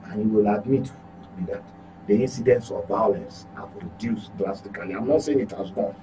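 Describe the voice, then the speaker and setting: A man's voice: on the low side, brisk. A Nigerian-accented man giving political commentary on a talk show as a journalist, emphatic, often repeating and correcting his words. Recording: clearly noisy with electrical hum.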